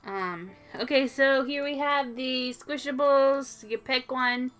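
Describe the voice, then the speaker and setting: A woman's voice: sits high, fairly even intonation, slow, a bit bright, faint music behind. A woman co-hosting a toy show in casual, informal chat, thinking aloud as she goes.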